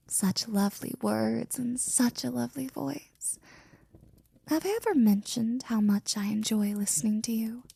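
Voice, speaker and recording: A woman playing a devoted, flirty and jealous maid in an intimate ASMR roleplay, speaking softly and close to a whisper with teasing, courtly wording. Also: a little high, a little slow, long pauses, dry recording, heavily acted. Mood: smitten. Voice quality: soft, breathy, often near whispering. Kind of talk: ASMR roleplay, intimate one-sided scene.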